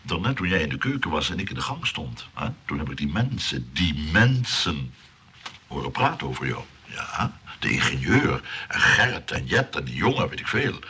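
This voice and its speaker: An actor playing a lonely, pompous suitor in a comic radio play, stammering and repeating himself with dramatic pauses. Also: male voice, rumbling low, very animated, bright, forceful tone, breathy edge, full character voice. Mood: mocking, spiteful. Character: lonely, pompous, pleading, spiteful male suitor.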